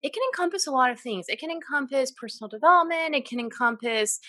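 A woman's voice: high voice, animated, fast talker, pushed and bright, dry recording, studio quality. A woman from California's Central Valley hosting a podcast interview, asking questions in an upbeat, encouraging way. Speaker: podcast host. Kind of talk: casual interview chat.

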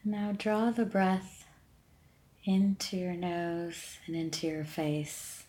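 A woman leading a guided meditation in a calm, soothing, unhurried way, leaving long pauses between gentle instructions. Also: on the low side. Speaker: meditation podcast host and guide.

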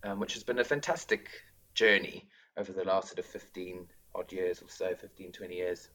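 South African male drama teacher in his thirties, low voice, relaxed rambling guest. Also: normal speed, poor audio. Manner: warm, chatty, many ums and restarts. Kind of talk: casual interview.

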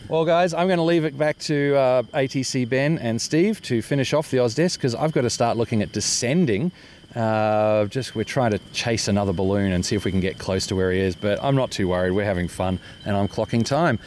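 A man's voice: mid-range voice, brisk, darkish tone, slight background noise. A man with an Australian accent giving a jokey, upbeat, casual report as a balloon pilot. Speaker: balloon pilot and podcast correspondent. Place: open balloon basket in flight, outdoors.

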